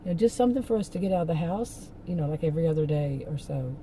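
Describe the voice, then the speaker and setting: Middle-aged woman vlogger, hoarse, dark low voice, chatty and rambling. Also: lively intonation, very fast, slight background noise, rough recording. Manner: rambling, venting, with many restarts. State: hoarse, losing her voice. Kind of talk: casual rambling chat.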